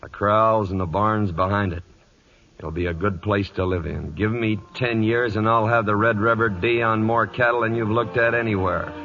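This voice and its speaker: An actor playing a hard, domineering American cattle baron, blunt and commanding, in a 1940s Western radio drama. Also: male voice, on the low side, steady tone, normal speed, fairly bright tone. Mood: resolute. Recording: muffled 1940s radio broadcast.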